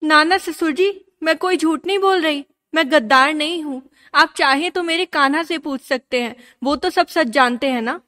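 A woman playing an earnest, gentle young princess steadfast in her devotion, in a radio drama. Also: high voice, moderate pace, hardly any pauses. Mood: earnest, pleading. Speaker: actor in a student radio drama. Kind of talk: radio drama acting in Hindi.

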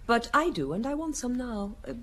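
Actress doing a languid foreign sister in an old radio mystery, hesitant and poised, bit of hiss. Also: female voice, fairly high pitch, expressive, normal speed, lightly acted. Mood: poised, plaintive. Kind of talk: old radio drama acting. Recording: noisy old radio broadcast.

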